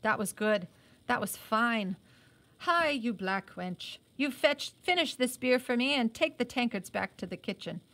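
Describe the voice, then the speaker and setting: A woman's voice: on the high side, lively intonation, bright, forceful tone, full character voice. Woman reading as an arrogant, hearty young British officer. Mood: chuckling, hearty. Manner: brisk, commanding, barked questions and orders. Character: arrogant, hearty young British army lieutenant, good-humoured under bluster.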